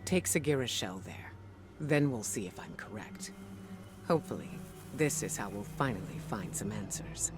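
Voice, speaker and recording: An actress playing a composed, scholarly female spymaster in a video game, speaking in a measured, deliberate, grave way. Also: rumbling low, expressive. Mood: measured, cautious. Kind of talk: scripted video game dialogue. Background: background music.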